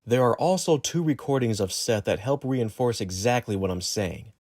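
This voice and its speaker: A man's voice: mid-range voice, expressive, moderate pace, darkish tone, no echo, studio quality. A man reading scripted narration for an exposé video.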